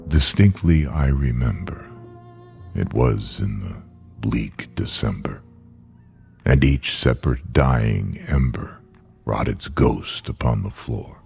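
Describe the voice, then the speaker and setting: Slow, extremely deep, haunted grieving man. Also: creaky, darkish tone, exaggerated voice. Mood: brooding, mournful. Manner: brooding, solemn, deliberate. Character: grieving, haunted man telling his midnight tale. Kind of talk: dramatic gothic poetry recitation for radio drama.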